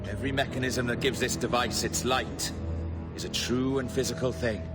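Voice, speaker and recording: Grandiose cold pirate captain, done by an actor, medium pitch, game cutscene, loud music behind. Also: male voice, little pitch movement, moderate pace, natural voice. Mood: proud. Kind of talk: dramatic video game acting.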